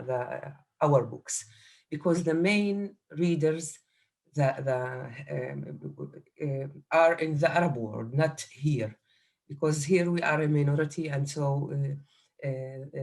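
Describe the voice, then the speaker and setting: Halting woman. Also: deep voice, a little mellow. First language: Arabic. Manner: halting, searching for words, many pauses. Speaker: author and poet. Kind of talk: panel conversation.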